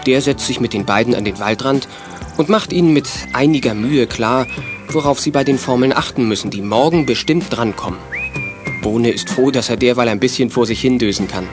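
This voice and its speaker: A man's voice: mid-range voice, natural voice. A man narrating a children's detective radio play as its storyteller. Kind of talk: scripted narration.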